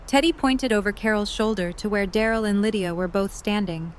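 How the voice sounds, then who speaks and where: A woman reading scripted story narration in an audio drama, at a medium pitch. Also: brisk, a little hiss or hum.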